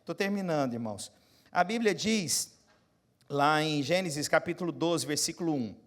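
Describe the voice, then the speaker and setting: A Brazilian pastor in his forties preaching a sermon, moving from quiet, close asides to loud, rising exhortation and putting questions straight to his congregation. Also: fairly high pitch, animated, moderate pace. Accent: Brazilian Portuguese. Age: 40s. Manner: talks straight to the congregation, asking them questions.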